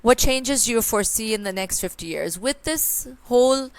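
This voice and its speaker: Woman, smooth voice. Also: fairly high pitch, animated, normal speed, no room sound, rough recording. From Pakistan. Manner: conversational, with frequent fillers and rhetorical "right?". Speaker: IELTS speaking tutor. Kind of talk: exam tips lesson with sample answer.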